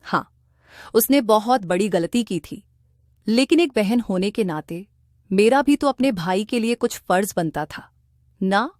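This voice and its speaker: A woman's voice: medium pitch, lively intonation, measured pace, a little mellow, dry recording, studio quality, close to an everyday voice. A woman voicing an emotional, concerned wife with a guilty, pleading manner in a cartoon. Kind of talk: Hindi cartoon voice acting.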